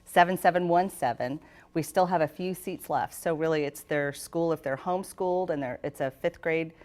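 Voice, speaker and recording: A woman's voice: low-pitched, normal speed, darkish tone. A woman talking as a guest and event organizer in a live television studio interview.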